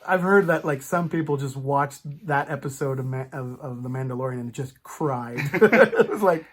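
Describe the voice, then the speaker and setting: A man hosting a casual, animated chat about film and TV, acting out imagined reactions as he goes. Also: medium pitch, normal speed. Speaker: podcast host.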